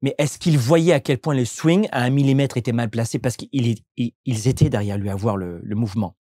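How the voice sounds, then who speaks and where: A man's voice: mid-range voice, brisk, mellow, dry recording, studio quality. A man from France, a coach and entrepreneur, answering interview questions in a reflective, explanatory way with frequent restarts.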